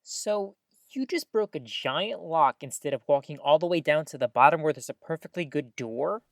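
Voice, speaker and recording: A woman's voice: low-pitched, animated, moderate pace, no room sound, studio quality. A woman playing a stubborn, playful radio co-host in an audio drama, with a theatrical, mock-formal, quibbling manner. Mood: stubborn, teasing. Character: stubborn, playful radio host who loves old tech.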